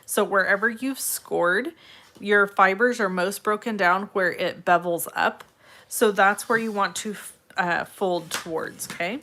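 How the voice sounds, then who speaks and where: A woman with a slightly raspy, congested voice hosts a casual step-by-step craft tutorial, addressing viewers in a friendly, direct way. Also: medium pitch, a little slow, bright, forceful tone. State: congested, irritated throat from allergies.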